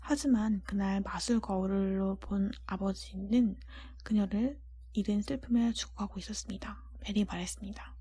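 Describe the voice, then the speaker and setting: A woman whispering a storybook in a breathy voice, gently and deliberately, as an ASMR reading. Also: medium pitch, fairly even intonation. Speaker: audiobook-style narrator.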